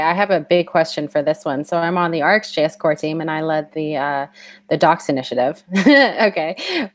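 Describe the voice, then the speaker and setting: A woman's voice: sits low, dry recording. A woman hosting a casual tech discussion and asking the panel curious questions.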